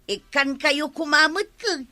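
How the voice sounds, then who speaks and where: A woman's voice: high voice, unhurried, pushed and bright, dry recording. An actress playing a talkative, indignant village woman in an Ilocano radio comedy drama, with an Ilocano accent. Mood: brisk, put out. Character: talkative village woman, indignant, gossiped about over money.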